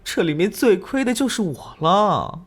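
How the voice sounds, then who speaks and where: An actress playing a brazen, flirtatious, sharp-tongued female assistant in an animated crime drama, with a sassy, gossipy drawl. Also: mid-range voice, normal speed, lightly acted. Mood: gossipy, catty.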